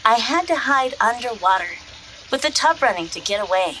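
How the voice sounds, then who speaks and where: Woman acting a bright, lively young comic-book heroine. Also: a little high, normal speed, slight background noise, rough recording. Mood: confiding. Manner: confiding, self-deprecating. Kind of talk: dramatic reading of comic excerpts.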